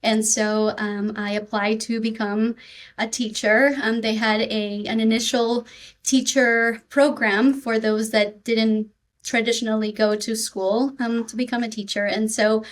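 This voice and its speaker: A woman's voice: fairly high pitch, little pitch movement, measured pace. Woman with a slight Peruvian accent. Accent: Peruvian Spanish. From Peru. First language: Spanish. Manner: earnest, grateful, with frequent ums. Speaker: school district supervisor, guest. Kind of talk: podcast interview.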